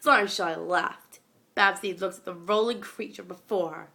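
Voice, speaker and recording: A woman's voice: on the low side, normal speed, vocal fry, pushed and bright. A young woman reading a scripted story aloud in a dramatic, amateur way, recorded at home on a phone. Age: young adult or younger, school age. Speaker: amateur fan fiction reader.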